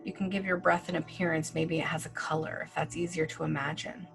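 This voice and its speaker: Gentle woman guiding a meditation over music. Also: low-pitched, level tone, gravelly. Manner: slow, gentle, soothing instructions with long pauses; casual fillers like "like". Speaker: meditation guide. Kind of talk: guided meditation. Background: soft background music.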